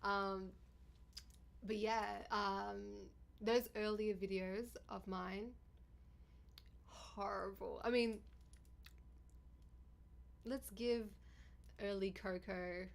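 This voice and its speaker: A woman with a deep, raspy voice and a gravelly edge talks casually on a livestream. She keeps up a chatty, bubbly flow with viewers and thanks them often. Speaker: livestreamer and YouTuber.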